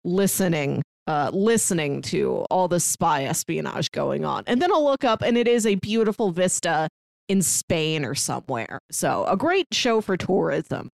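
A woman's voice: fairly low pitch, animated, moderate pace, creaky, no echo. Wry, chatty woman, dry close recording. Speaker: podcast co-host. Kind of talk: casual chat recapping films and shows.

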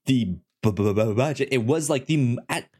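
A man's voice: mid-range voice, normal speed, a little mellow, slightly rough. A man co-hosting a podcast in casual film discussion, often stammering and repeating words as he goes. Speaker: podcast co-host.

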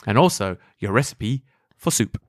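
A man's voice: lowish voice, expressive, moderate pace, dry recording. A man hosting a casual chat, joking in a dry, rambling, self-mocking way. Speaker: podcast host.

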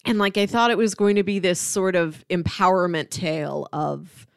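A woman's voice: fairly low pitch, steady tone, normal speed, creaky, clear, resonant tone, dry recording, studio quality. A woman co-hosting a podcast, casually analysing a film in an analytical way, with emphatic asides and paraphrases. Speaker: podcast co-host discussing a film.

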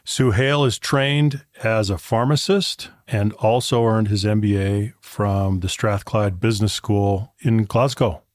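A man hosting a podcast interview, introducing his guest in a courteous, measured, articulate way. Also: fairly low pitch, fairly even intonation, dry recording, studio quality. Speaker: podcast host.

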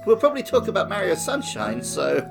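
A man's voice: high voice, huge pitch swings, a little fast, gravelly, over loud music. A man co-hosting a podcast, talking casually about video games. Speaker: podcast co-host. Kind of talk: casual discussion of a video game.

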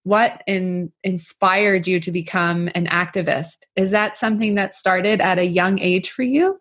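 Woman, interviewer, unhurried pace, lowish pitch, attentive, encouraging, conversational. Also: fairly even intonation, a bit bright.

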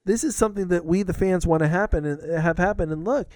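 A man in his thirties hosting a podcast, chatting casually in excited bursts with lots of restarts. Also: high-pitched, quick pace, dry recording. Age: older than co-host, roughly 30s. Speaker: podcast host. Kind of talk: casual chat.

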